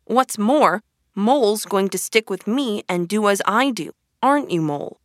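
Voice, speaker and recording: A woman's voice: mid-range voice, expressive, a little slow, no room sound, studio quality, voice pushed up, close to an everyday voice. A woman doing a stubborn storybook rat. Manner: dogged, firm. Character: stubborn sensible male water rat.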